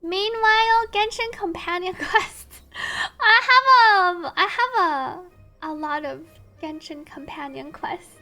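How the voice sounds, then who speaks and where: A woman's voice: extremely high pitch, slow, pushed and bright. A woman livestreaming a video game, chatting casually with viewers and reading on-screen text aloud over game music.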